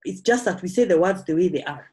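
A woman's voice: on the low side, fast talker. A Kenyan woman in her forties, a musician, talking casually and animatedly over a video call from home while answering audience questions, often restarting her sentences. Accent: Kenyan English. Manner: animated, gesturing hands, chatty with frequent restarts. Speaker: musician guest speaker. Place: home room, indoors. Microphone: video call laptop camera.

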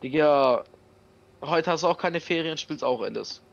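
A man's voice: fairly high pitch, moderate pace, creaky, muffled recording. A man joining a gaming session, teasing his friends with short jokes. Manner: teasing, joking interjections. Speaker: co-player. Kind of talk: casual gaming chat.

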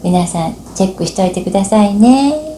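A woman's voice: medium pitch, expressive, brisk, mellow. A middle-aged woman hosting in a polite, conversational, scripted way, recorded in a small room at home. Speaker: host, MC. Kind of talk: scripted presenting. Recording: roomy echo, faint background hiss.

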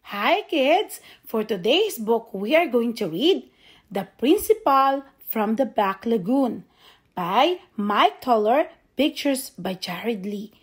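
A woman reading a children's storybook aloud in an expressive, child-friendly manner. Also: on the high side, husky. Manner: slow, simple, animated delivery for children.

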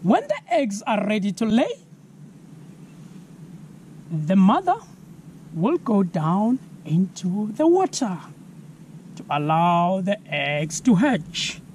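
A man in his thirties with a South African accent, giving a slow, clear science lesson for children outdoors, asking viewers to spell words. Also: sits high, sing-song, a little mellow, a little hiss or hum. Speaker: environmental educator. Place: outdoors on a lawn.

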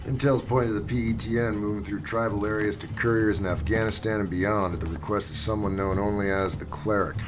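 A man playing a calm, gruff military handler in a video game, terse, slangy and authoritative. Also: on the low side, fairly quick, few pauses, background noise, natural voice. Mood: calm, firm. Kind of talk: dramatic game voice acting.

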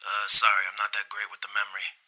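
Actor as curt, reluctant man, piercingly bright. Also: fairly low pitch, completely flat delivery, fast talker. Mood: wary. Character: reluctant, curt man brushing off a phone caller. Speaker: actor in an audio drama. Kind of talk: naturalistic audio drama acting.

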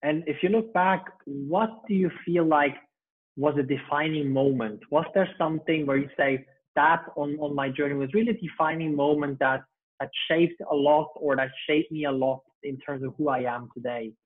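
A man's voice: on the high side, fairly even intonation, normal speed. A man hosting an interview show over a video call, enthusiastic, with long rambling questions full of fillers. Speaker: podcast host. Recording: thin phone-like video call audio.

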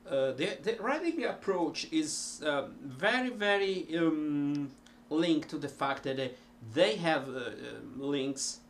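Middle-aged man with an Italian accent, unhurried, halting delivery, home webcam sound with a faint hum behind. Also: fairly high pitch, lively intonation. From Italy. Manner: slow, rambling, many ums and restarts. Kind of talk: casual talk to camera. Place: cluttered home music room.

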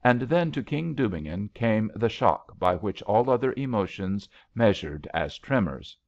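Low-voiced man reading a story expressively. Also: sing-song, darkish tone. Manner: measured, dramatic storytelling. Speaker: audiobook reader. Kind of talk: expressive literary narration of a romantic story. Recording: slightly dull, lo-fi audio.

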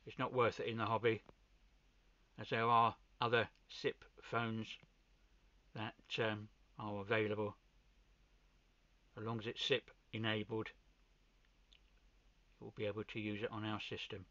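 A man's voice: lowish voice, little pitch movement, unhurried, long pauses, bright, forceful tone, no echo. A man giving a casual, unscripted equipment walkthrough as a hobbyist, often hesitating and repeating his words. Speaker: amateur radio hobbyist presenting a demo. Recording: dull, lo-fi sound.

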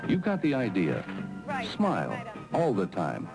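A man's voice: low voice, huge pitch swings, measured pace, vocal fry. An American man reading scripted, encouraging, instructive narration for a 1970s staff training film. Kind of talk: scripted narration addressed to the viewer. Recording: 1970s film soundtrack, music behind. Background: music.

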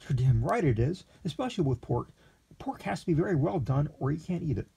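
A blunt, wary waiter answers in flat, matter-of-fact lines, as a man reading the story puts him on. The voice is a touch raised, husky and gravelly. It stays dark and low-key. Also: brisk, heavily acted.

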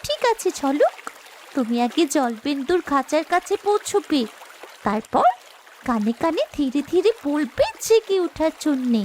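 A woman's voice: high-pitched, big pitch swings, a little slow, darkish tone, a little hiss or hum. A woman voicing a brave human child in a fairy-tale cartoon, speaking in an earnest, urgent way. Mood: cautioning.

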